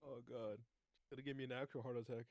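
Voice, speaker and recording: A man's voice: mid-range voice, level tone, quick pace, a little mellow, no room sound. A man joking casually as a player in a tabletop roleplaying game.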